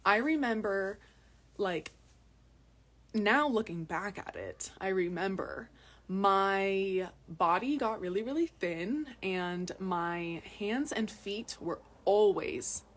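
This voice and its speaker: A woman's voice: mid-range voice, lively intonation, slow pace. A young adult woman from Massachusetts, chatting casually to viewers in a live video recorded outdoors on a phone, often repeating words for emphasis. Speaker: health vlogger. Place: outdoors in cold mountains.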